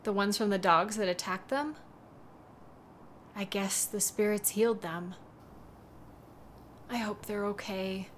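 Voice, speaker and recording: Audio drama lead: actress as devoted young woman, worried, tender, slow delivery, light ambient noise behind. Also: medium pitch, fairly bright tone. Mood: anxious, shaken. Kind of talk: audio roleplay drama, intimate monologue.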